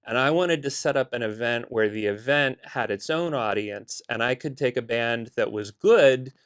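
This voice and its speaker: Bahamian man telling stories, smooth voice. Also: mid-range voice, normal speed. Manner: relaxed storytelling with frequent "uh" and "you know". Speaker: guest, concert promoter. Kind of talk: casual interview conversation.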